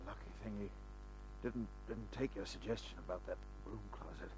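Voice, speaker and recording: A man's voice: fairly low pitch, fairly even intonation, noisy background, close to an everyday voice. An actor playing a wounded, desperate man on the run, stammering weakly in an old radio crime drama. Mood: shaken. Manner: halting, stammering, pleading. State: weak, in pain.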